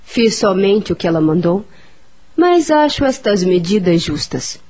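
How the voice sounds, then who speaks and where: A woman's voice: mid-range voice, very animated, measured pace, a little mellow. An actress with a Brazilian accent playing a formal, fair-minded woman doctor in a radio soap opera. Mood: businesslike. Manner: formal, businesslike.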